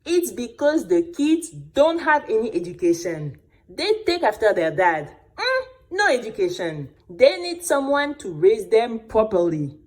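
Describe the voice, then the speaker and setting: A woman's voice: fairly high pitch, big pitch swings, a little slow, a little mellow. A woman playing a pompous, meddling male neighbour in an audio drama, preachy and judgemental. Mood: disapproving.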